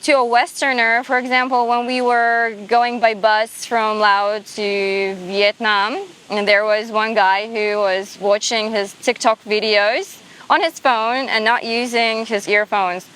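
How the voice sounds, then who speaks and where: A woman's voice: fairly high pitch, steady tone, a little slow, a bit bright, rough recording. A young woman from Russia chatting in an interview, filling with "like" and "um". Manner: chatty, lots of likes and ums. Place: beach outdoors.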